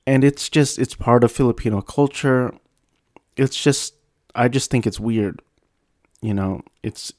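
A man's voice: on the low side, darkish tone, dry recording, studio quality. A young man telling a personal story in a casual, self-deprecating, rambling way with frequent restarts. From United States.

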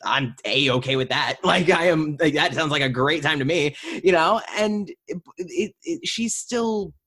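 A woman interviewer and actor chatting casually, animated and slipping into imagined quotes as she talks. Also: deep voice, a little slow, husky.

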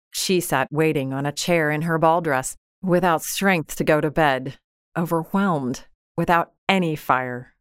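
A woman narrating a literary short story in a measured, expressive, scripted style. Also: deep voice, no room sound, clean studio sound.

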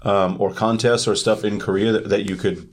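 A man's voice: fairly low pitch, fairly even intonation, moderate pace. A man hosting a casual podcast interview, asking questions informally and thinking aloud.

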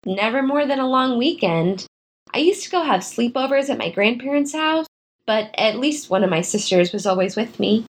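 A woman's voice: on the high side, animated, moderate pace. A woman acting in a modern audio drama as a proud, guarded, wistful young writer, with a dry, hesitant, naturalistic delivery.

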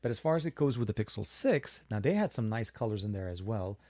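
A man's voice: fairly low pitch, expressive, quick pace, a little mellow, dry recording. A man from Lebanon hosting a casual live tech stream, answering viewer questions in a chatty way with frequent fillers and restarts. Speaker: tech livestream host.

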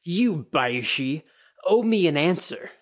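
A man voicing a drunk, belligerent samurai who shouts slurred insults in a story reading. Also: a little high, expressive, takes it slowly, pitched above the performer's own voice. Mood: taunting.

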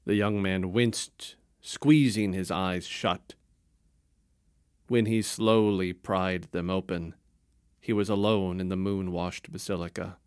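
Low calm guy reading a spooky story, dry close sound. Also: slow, clear, resonant tone, dry recording. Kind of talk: scripted story narration.

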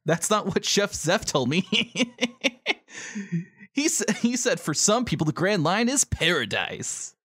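A man's voice: high voice, sing-song, a little slow, bright, forceful tone, breathy edge, pitched above the performer's own voice, exaggerated voice. A man playing a cheerful, naive young pirate captain in an amateur manga reading, boyish and exuberant. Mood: upbeat.

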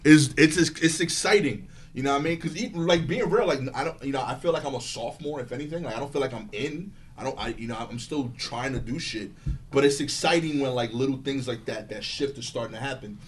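Rambling, animated comedian man. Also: mid-range voice, fast talker, slight background noise. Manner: animated, rambling, many filler "likes". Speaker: stand-up comedian. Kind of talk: casual chat.

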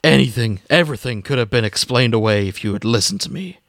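A man's voice: medium pitch, expressive, fast, a bit bright, no echo, clean studio sound. A man voicing a self-assured, stubborn police investigator with a terse, over-serious intensity, for a comic reading. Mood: intense, determined. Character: stubborn, self-assured male criminal investigator, hard-boiled cop.

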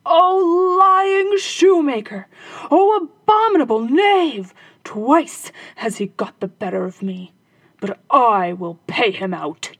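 A woman voicing an enraged robber captain who shouts slowly and bitterly in a storybook reading. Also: sits high, sing-song, no echo, pitched above the performer's own voice, heavily acted. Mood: raging. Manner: raging, drawn-out shouting. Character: furious robber captain, a grown man.

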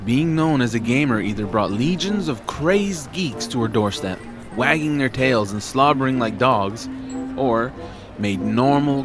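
Male narrator, wry storyteller, mid-range voice, music bed behind him. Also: mid-range voice. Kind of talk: scripted audio drama narration.

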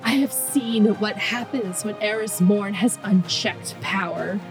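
A woman's voice: medium pitch, unhurried, over music, natural voice. A woman voicing a furious, frightened female Exo survivor who pleads in anguish, in a story read aloud.

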